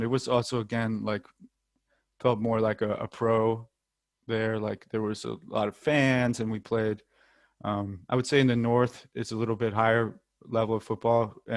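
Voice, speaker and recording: A man's voice: on the low side, no room sound. A young American man, a football player, chatting casually in an interview with frequent ums and the odd light laugh. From United States.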